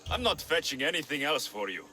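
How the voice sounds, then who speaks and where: A man playing a gruff, sceptical mercenary hero in a video game, blunt, dry and impatient. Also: on the high side, big pitch swings, a little fast, bright, forceful tone, faint music behind, heavily acted. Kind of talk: video game cutscene acting.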